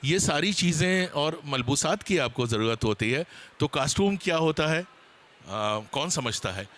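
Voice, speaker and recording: A man speaking as a panelist at a seminar, explaining his points in a deliberate, hesitant way. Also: fairly high pitch, lively intonation, fairly bright tone.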